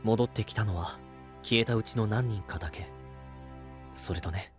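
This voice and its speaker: Guy doing serious gentle knight, lowish voice, earnest anime drama, quiet music behind. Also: normal speed, natural voice. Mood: sorrowful, grave. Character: grave, dutiful male guardian knight, burdened yet gentle. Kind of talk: Japanese anime-style voice drama acting.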